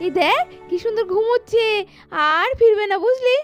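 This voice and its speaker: Casting: woman as dazed bereaved cartoon mother, tiny very high voice, wistful, music underneath. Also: moderate pace. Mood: dazed, tender. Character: numb, grieving mother in denial over her child. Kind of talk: melodramatic doll-story cartoon acting.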